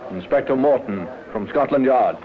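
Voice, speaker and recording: Guy acting radio drama police inspector, clipped n authoritative, swings his pitch, tinny old recording. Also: animated, normal speed, lightly acted. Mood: firm, official. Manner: clipped, official, probing. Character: brisk, authoritative male police inspector from Scotland Yard. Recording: thin, narrow-band vintage radio recording.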